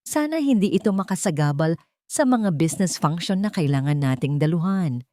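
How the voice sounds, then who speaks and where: A woman's voice: lowish voice, lively intonation, moderate pace, dark tone, no room sound, studio quality, lightly acted. A woman with a Filipino accent voicing a cold, scornful, belittling businessman husband in an audiobook.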